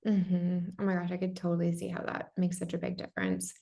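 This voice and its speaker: Gravelly-voiced enthusiastic woman podcast host. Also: on the low side, monotone, a little fast, mellow. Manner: gushing, enthusiastic. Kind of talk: podcast interview, scripted intro.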